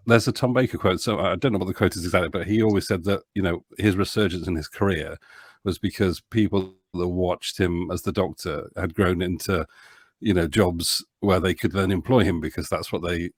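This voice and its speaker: A man chatting casually as an interview guest, talking in a halting, rambling way and often interrupting himself. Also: low-pitched, dry recording, studio quality. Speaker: audio drama producer, interview guest.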